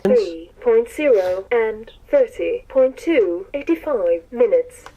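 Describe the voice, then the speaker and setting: A woman's voice: a little high, lively intonation, slow, mellow, muffled recording. A woman's automated voice prompt reading out values in a stilted, word-by-word way. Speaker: radio transmitter voice prompt. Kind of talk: automated readout of numbers and units.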